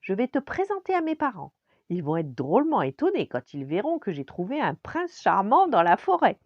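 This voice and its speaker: A woman voicing an excited, bossy little princess girl in a storybook tale, gushing and insistent. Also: low-pitched, unhurried, no echo, dull audio.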